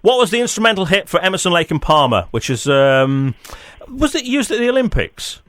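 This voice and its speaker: A man's voice: high-pitched, very animated, a little fast, a bit bright, no echo. An English man presenting on radio, interviewing a guest in a chatty, informal, upbeat style.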